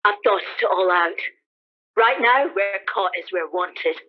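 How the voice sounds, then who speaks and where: A woman's voice: medium pitch, measured pace, close to an everyday voice. A woman acting a cynical, defiant soldier survivor in a radio play, speaking in an emphatic, colloquial way. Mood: grim, resigned. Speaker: amateur actor in a radio play. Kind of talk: dramatised radio play dialogue. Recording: thin phone-like band-limited sound.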